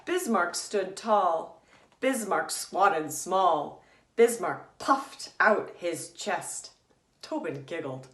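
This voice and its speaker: A woman author reading a children's story aloud in a deliberate, teacherly, emphatic way. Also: fairly low pitch, animated, a bit bright. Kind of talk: expressive children's storybook narration. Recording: echoey room.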